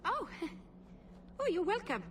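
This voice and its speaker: A woman's voice: extremely high pitch, big pitch swings, a little slow, fairly bright tone, slight background noise, heavily acted. An actress voicing a shy young female elf mage in a video game, nervous, apologetic and prone to rambling. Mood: bashful, babbling.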